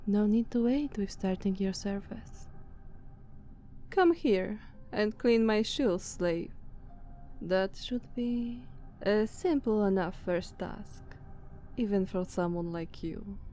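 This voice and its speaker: Woman playing a slow-talking Slavic-accented vampire mistress. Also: medium pitch, mellow, slight background noise, close to an everyday voice. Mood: commanding. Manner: condescending, commanding, slow and deliberate, slipping into whispers. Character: haughty, dominant female vampire master, ancient and seductively menacing. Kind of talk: ASMR roleplay.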